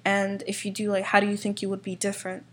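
A young woman in the middle of an interview asks her questions casually and drops in plenty of fillers. Her voice sits at an ordinary middle pitch. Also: completely flat delivery, fast.

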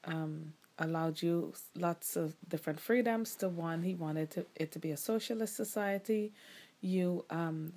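Middle-aged woman from guyana, kinda low voice, thinks out loud with ums, slow careful answers. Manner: thoughtful, hesitant, many ums and restarts. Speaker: interviewee, the host's mother. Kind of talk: casual recorded interview.

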